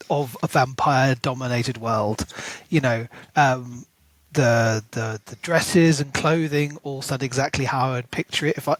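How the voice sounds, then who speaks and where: A man's voice: mid-range voice, no echo, poor audio. A man co-hosting a book podcast, chatting casually and enthusiastically, with plenty of ums and restarts. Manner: chatty, filler-heavy, enthusiastic. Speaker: podcast co-host.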